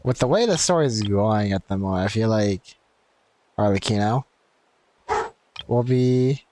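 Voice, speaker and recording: A man's voice: on the low side, unhurried, background noise. Man rambling casually through a game stream. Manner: rambling, thinking aloud. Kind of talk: casual live gaming commentary.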